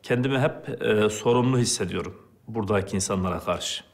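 A middle-aged man from Turkey, an imam, giving halting, thoughtful interview answers. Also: on the low side, soft background music.

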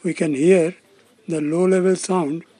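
A man's voice: fairly high pitch, fairly even intonation, unhurried, warm tone, dry recording. A man giving scripted, step-by-step narration as the presenter of a technical demonstration. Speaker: presenter of a loudspeaker testing demonstration.

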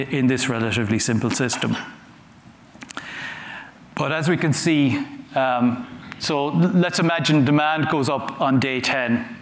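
A man giving a conference talk from a stage, conversational and halting, often repeating words as he goes. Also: mid-range voice, a bit bright. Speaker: conference speaker. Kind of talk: conference talk with audience questions. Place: large conference hall on a stage. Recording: roomy hall sound with faint noise.

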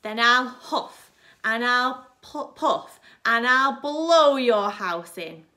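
A woman voicing a threatening, blustering male storybook wolf with drawn-out chanting threats. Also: a little high, sharp tone, pitched above the performer's own voice. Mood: menacing.

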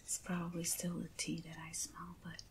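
A woman speaking in a hushed, breathy, gentle whisper, as in an ASMR unboxing video. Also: on the low side, monotone. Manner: hushed, gentle, unhurried with pauses. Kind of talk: soft-spoken ASMR unboxing. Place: indoors, at a table. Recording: clearly noisy hissy close recording.

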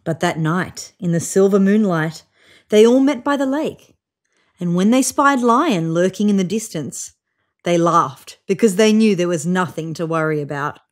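A woman in her thirties reading a children's picture book aloud on a sofa, with voiced sound effects and hushed whispers. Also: fairly low pitch, lively intonation, moderate pace. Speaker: storybook reader. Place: indoors on a sofa.

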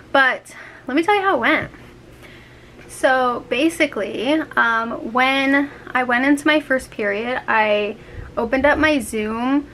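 A young woman in her twenties, a teacher chatting to camera in a small bedroom, filling her talk with "like" and "um", her voice a little scratchy and dry. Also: fairly high pitch. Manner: chatty, lots of fillers, animated hands. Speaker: teacher vlogger. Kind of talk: casual chat to camera. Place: small bedroom with laptop, indoors. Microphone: handheld camera at arm's length.

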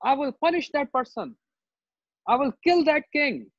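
A man's voice: very high-pitched, big pitch swings, normal speed, voice pushed up. A man with an Indian accent voicing a ferocious, boastful warrior sage in an oral storytelling, threatening and demanding. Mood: furious. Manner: threatening, shouting demands, repetitive. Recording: roomy, dull lo-fi sound.

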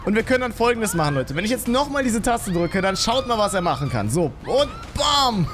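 A man giving excited, exclamatory let's play commentary, talking to viewers and his game character, close on a studio microphone in a small home room. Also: high-pitched, a little fast. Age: 20s. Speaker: gaming YouTuber. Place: small home streaming room with acoustic foam, indoors. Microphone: studio microphone on boom arm, close.

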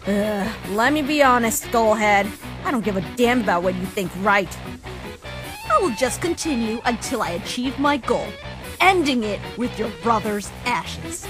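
A woman's voice: fairly high pitch, expressive, unhurried. A woman voicing a defiant, ruthless young human fighter in a comic dub, scornful and menacing. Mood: annoyed, scornful. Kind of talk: fan comic dub acting. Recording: loud music behind the voice.

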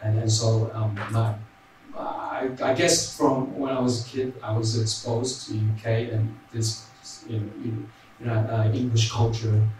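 A man's voice: lowish voice, little pitch movement, unhurried, darkish tone. A young man with an Australian accent reading prepared points on a panel into a handheld mic, with many ums and tag "right?"s. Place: large hall. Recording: very echoey hall, distant mic, faint noise.

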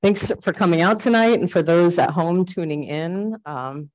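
A woman giving an informal talk on native plants in a library, loud and friendly, asking the audience questions. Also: lowish voice, moderate pace, poor audio. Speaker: guest speaker on native plants. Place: library program room.

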